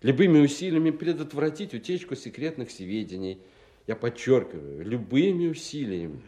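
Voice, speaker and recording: An actor playing a brusque, authoritative Soviet colonel in a radio drama, speaking in a firm, clipped, insistent way. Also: male voice, medium pitch, sing-song, moderate pace, warm tone, lightly acted. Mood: firm, explaining.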